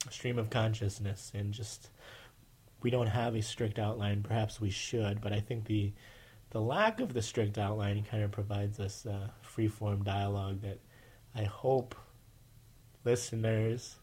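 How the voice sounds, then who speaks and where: A man's voice: lowish voice, a little slow, a little mellow, husky. A man hosting a casual podcast, with a nasal voice, rambling with many fillers and restarts. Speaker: podcast host.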